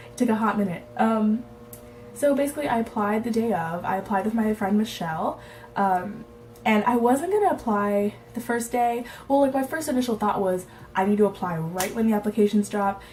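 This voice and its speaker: A woman's voice: medium pitch, noisy background. Young woman rambling casually to her camera, bit stuffy from a cold, lots of "um" and "like". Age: young adult. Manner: chatty, laughs often, many fillers. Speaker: vlogger.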